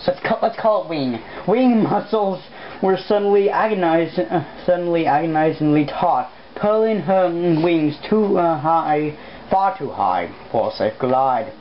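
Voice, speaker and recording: Woman, lowish voice, informal amateur story reader, self-deprecating, stumbling, muffled noisy recording. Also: lively intonation, measured pace. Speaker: host, amateur fan fiction reader. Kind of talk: casual read-aloud with off-script asides. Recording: dull lo-fi mic with clear background noise.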